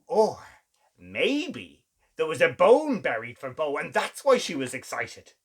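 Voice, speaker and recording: Male kids' story host, lively swooping delivery, slightly raspy tone, theatrical pauses. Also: fairly high pitch. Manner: exclamatory, theatrical, with dramatic pauses and gasps. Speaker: children's story reader, show host. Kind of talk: animated children's storytelling.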